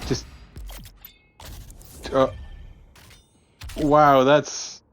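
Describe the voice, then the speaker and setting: A man's voice: medium pitch, little pitch movement, very slow, a bit bright, clear, resonant tone, faint music behind. A man co-commentating on a video game in casual, wry banter. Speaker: let's play co-commentator. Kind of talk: casual banter over gameplay.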